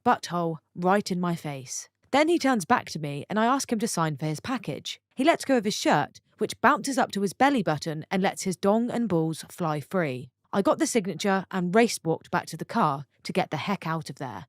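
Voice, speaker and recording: Lively low-voiced woman storyteller. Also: moderate pace, hardly any pauses, dry recording, clean studio sound. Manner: expressive, chatty asides to viewers. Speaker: story channel narrator. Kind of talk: reading online stories aloud with own comments.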